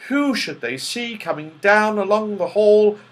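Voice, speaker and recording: A man narrates a children's story. His voice is fairly high for a man, very animated and expressive, and he takes his time over the words. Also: measured pace. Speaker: audiobook reader.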